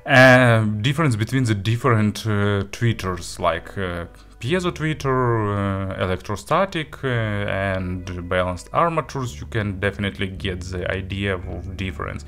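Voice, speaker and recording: Male gear reviewer, unhurried and hesitant yet keen, slightly low voice, spoken review. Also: a bit bright, faint music behind.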